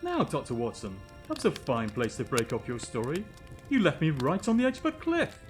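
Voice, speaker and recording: An actor playing a chatty, eager announcer in a 1940s radio drama, theatrical and playful. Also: male voice, a little high, huge pitch swings, normal speed, soft background music. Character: chatty, eager radio host quizzing storyteller.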